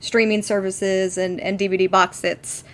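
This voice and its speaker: A woman's voice: mid-range voice, flat delivery, moderate pace, a bit bright. A woman with a mid-range voice co-hosts a fan podcast. She talks in a casual, conversational way and sounds enthusiastic about her subject. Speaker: podcast co-host.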